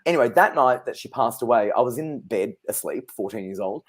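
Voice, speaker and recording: A man's voice: medium pitch, expressive, brisk, breathy edge. Chatty man in his thirties. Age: 30s. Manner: chatty, anecdotal, laughs easily. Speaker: psychic guest. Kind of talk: casual interview chat.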